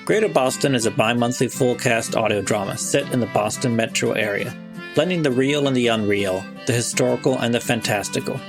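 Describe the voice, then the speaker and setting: A man's voice: medium pitch, fairly quick, gravelly. A man hosting a podcast, reading scripted narration and credits. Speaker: podcast host. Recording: music behind the voice.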